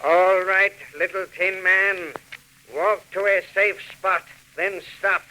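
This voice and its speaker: An actor playing a shrewd, mercenary villain in a 1940s radio drama, speaking in a precise, theatrical, imperious way. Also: male voice, high-pitched, level tone, slow pace, bright, forceful tone, exaggerated voice. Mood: proud, showy. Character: shrewd, mercenary male villain selling a stolen robot. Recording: noisy old 1940s radio recording.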